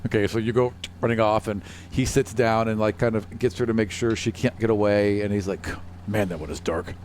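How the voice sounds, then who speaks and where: A man's voice: on the low side, steady tone, a little fast, a little mellow. A man acting as game master, improvising narration and chatting casually with players, with some background noise.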